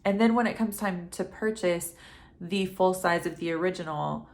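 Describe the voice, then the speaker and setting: A woman in her thirties, getting over a head cold with a dry throat, talking quietly and chattily at home at night as a fragrance reviewer. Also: lowish voice, moderate pace. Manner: chatty, rambling, with frequent ums. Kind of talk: casual vlog talk to camera.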